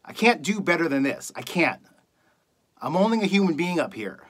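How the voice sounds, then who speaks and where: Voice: sing-song, normal speed. A performer playing a bitter, contemptuous late-night talk radio host in a scornful, theatrical monologue. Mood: weary, defensive. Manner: scornful, accusatory, building from low muttering to shouting.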